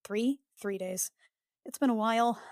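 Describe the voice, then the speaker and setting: A woman playing a worried, brisk young woman, close and dry. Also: a little high, a little mellow, no echo, natural voice. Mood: focused, careful. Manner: fussing, clinical questioning. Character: worried, brisk woman checking on her injured boyfriend.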